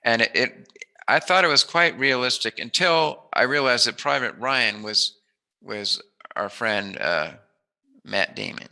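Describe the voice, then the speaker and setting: A man's voice: medium pitch, a little slow, vocal fry, bright, forceful tone. A man hosting a casual interview, chatting in an informal, enthusiastic and rambling way. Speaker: interview host.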